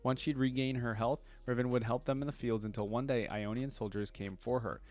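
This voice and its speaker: A man giving casual reaction commentary as an online creator, reading wiki text aloud and thinking out loud about it. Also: mid-range voice, fairly even intonation, a little fast. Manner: thinks aloud, interjecting between read passages.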